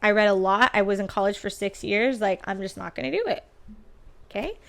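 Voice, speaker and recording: A woman's voice: medium pitch, brisk, gravelly. A young adult woman chatting casually about her test experience, often saying "girl" and "like". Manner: chatty, says "girl" and "like" a lot. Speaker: teacher candidate sharing test experience.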